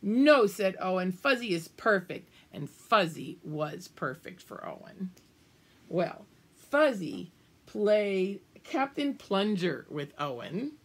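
Animated, husky older woman reading a storybook. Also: mid-range voice, takes it slowly. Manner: animated, exaggerated emphasis with asides to the children. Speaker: children's storybook reader. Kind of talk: expressive read-aloud of a picture book for young children. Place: small room at home, indoors.

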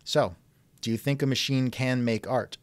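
A man's voice: medium pitch, fairly even intonation, normal speed, clear, resonant tone, dry recording. A man hosting a scripted podcast interview with a dry, sardonic manner, close on the microphone.

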